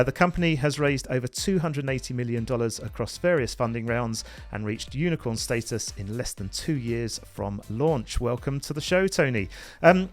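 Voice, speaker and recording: A man hosts a business interview podcast with a voice of middling pitch. He reads a friendly introduction and puts questions to his guest. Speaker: podcast host. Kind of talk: interview hosting.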